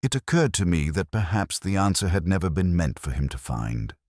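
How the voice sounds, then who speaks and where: A middle-aged man reading a scripted first-person detective story in a measured, reflective, literary way. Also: low voice, very animated, darkish tone, no room sound, studio quality. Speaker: audiobook-style narrator of a detective story.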